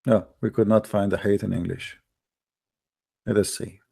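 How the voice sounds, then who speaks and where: A man's voice: on the low side, fairly even intonation, moderate pace, vocal fry, mellow. A man hosting a live debate stream, lecturing in an emphatic, argumentative way. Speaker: livestream debate host. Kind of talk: lecturing religious debate talk.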